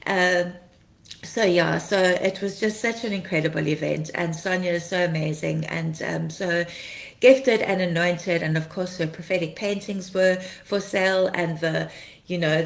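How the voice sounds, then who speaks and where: A woman, slightly croaky from being ill, hosting a casual ministry livestream, chatting, laughing often and greeting viewers by name. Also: fairly low pitch, reverberant. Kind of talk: casual livestream chat reading comments.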